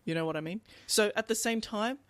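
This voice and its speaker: A man co-hosting a podcast, talking through books casually in an animated, emphatic way and often repeating words. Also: high voice, brisk, no room sound. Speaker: podcast co-host. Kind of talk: casual book discussion.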